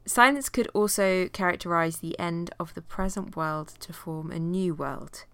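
A woman with a cold teaches an informal Bible study, her lowish voice noticeably nasal. She chats with plenty of fillers and reads long passages aloud. The recording is dry and close, with no room sound. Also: moderate pace, a bit bright, clear, resonant tone, no echo. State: has a cold.

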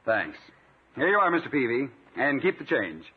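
A man's voice: medium pitch, very animated, normal speed, close to an everyday voice. An actor playing a chummy, self-assured, presumptuous man in a 1950s radio comedy, polite and glib. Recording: tinny 1950s radio recording, faint hiss.

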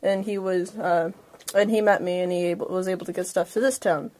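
A woman's voice: on the low side, steady tone, brisk, a little mellow. A woman chatting casually and playfully as a player in a tabletop game, telling what her character does.

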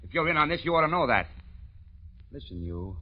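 An actor playing a suspicious, blustering small-time crook with gruff, slangy American speech, in the style of 1940s radio drama. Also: male voice, a little high, big pitch swings, a little fast. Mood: rattled, demanding. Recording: muffled old-time radio recording.

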